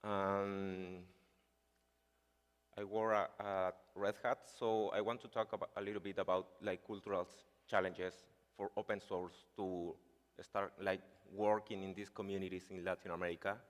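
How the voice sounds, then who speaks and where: Deep-voiced Chilean man giving a conference talk. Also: flat delivery, normal speed. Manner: hesitant, many fillers like "like" and "uh". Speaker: conference speaker. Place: large conference hall. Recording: reverberant hall sound.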